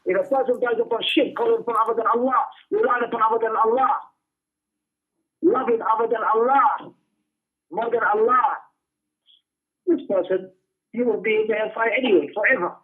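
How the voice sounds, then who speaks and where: A man's voice: very high voice, fairly even intonation, brisk, pauses often. A man giving an emphatic religious lesson as a teacher, repeating phrases for stress as he reads and explains texts. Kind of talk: Islamic lesson, reading Arabic texts and explaining them in English. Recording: tinny phone-like radio-style lecture audio.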